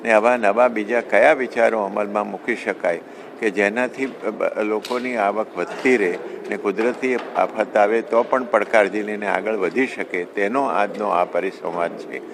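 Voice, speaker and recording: A man giving an interview statement as an expert, speaking haltingly in short phrases. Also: lowish voice, steady tone. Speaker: conference expert being interviewed. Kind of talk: spoken news interview statement. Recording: clearly noisy location recording.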